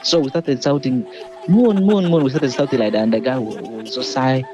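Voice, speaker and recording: A man's voice: mid-range voice, normal speed, muffled recording. A young man with a Ugandan accent telling a personal account, sometimes asking and answering his own questions. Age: young adult. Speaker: interviewee, youth group member. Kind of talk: unscripted personal storytelling. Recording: music behind the voice.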